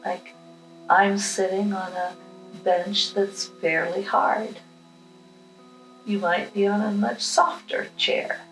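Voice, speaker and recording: A woman leads a guided meditation in a calm, instructional way. Her delivery is markedly slow, with plenty of time given to each short phrase. The sound is noticeably roomy and echoing, with music underneath. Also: mid-range voice. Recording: roomy echo with music behind.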